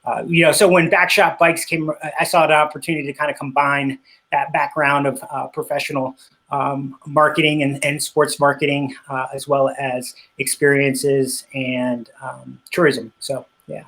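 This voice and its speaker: A middle-aged man in a casual interview, talking about his work with frequent pauses, fillers and restarts; he grew up speaking Spanish. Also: medium pitch, level tone, a bit bright, rough recording. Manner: hesitant, many fillers and restarts. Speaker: interview guest, cycling business owner.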